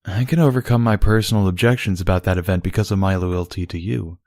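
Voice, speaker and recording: A man voicing a female immortal pony princess, a formal and dutiful younger sister who argues in measured, stilted diction in a storybook reading. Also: low-pitched, fairly even intonation, darkish tone, no room sound, studio quality. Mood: earnest.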